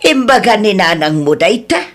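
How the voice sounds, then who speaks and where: A woman's voice: medium pitch, very animated, moderate pace. A woman playing a matter-of-fact confidante in an Ilocano radio drama, with a Filipino accent. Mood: probing.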